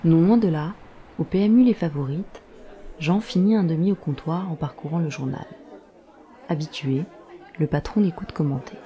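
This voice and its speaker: A narrator of a scripted audio drama, telling the story in a measured, literary manner. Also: medium pitch, lo-fi sound, a faint crowd. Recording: faint background ambience.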